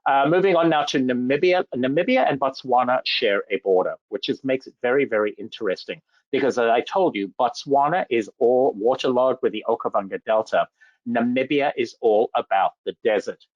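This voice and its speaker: A man's voice: mid-range voice, expressive, fairly quick, dry recording. A man from Zambia giving an enthusiastic slide presentation about safari travel, full of superlatives. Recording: dull lo-fi dry audio.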